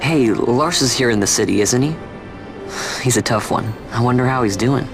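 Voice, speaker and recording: A man's voice: mid-range voice, normal speed, natural voice. Actor as a casual young male adventurer. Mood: candid, easygoing. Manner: casual, plainspoken. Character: youthful male commoner adventurer, candid and easygoing. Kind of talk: video game cutscene dialogue. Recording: music behind the voice.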